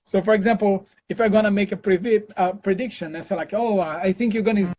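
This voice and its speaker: Brazilian-accented man, fairly high voice, casual chatty talk, muffled lo-fi call audio. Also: fast talker. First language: Portuguese. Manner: relaxed, chatty, many fillers and restarts. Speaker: interview guest, scientist. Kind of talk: casual video call interview. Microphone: video call.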